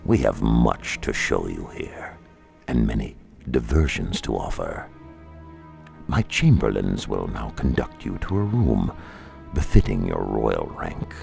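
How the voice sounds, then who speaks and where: A man voicing a tall, sinister island king, slow and falsely polite, in a fantasy storybook reading. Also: rumbling low, very animated, vocal fry, a little mellow, faint music behind, pitched below the performer's own voice, exaggerated voice. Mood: silky menace.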